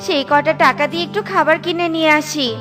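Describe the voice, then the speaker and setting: A woman's voice: sits high, steady tone, fast, natural voice. A woman voicing a worried widowed mother bird. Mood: tender. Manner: pleading, tender. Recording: cartoon with music behind.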